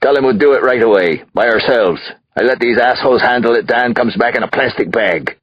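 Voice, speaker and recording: Audiobook role for a male actor: rich drunken father, belligerent, dismissive, husky shouted delivery, close dry sound. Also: medium pitch, a little fast, no echo, dull audio, lightly acted. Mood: angry, contemptuous. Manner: yelling, sneering. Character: rich drunken angry father, profane and dismissive, cigar-waving. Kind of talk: audiobook drama acting.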